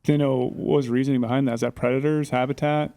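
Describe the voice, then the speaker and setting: A man co-hosting a podcast, talking quickly and laughing often. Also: medium pitch, fairly even intonation, gravelly, warm tone. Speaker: podcast co-host. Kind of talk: casual podcast chat.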